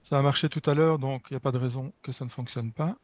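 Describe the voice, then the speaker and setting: A man's voice: mid-range voice, steady tone, quick pace. A man giving an academic presentation over a video call, hesitant and repeating words as he searches for the next. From Belgium. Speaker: architecture lecturer and researcher presenting.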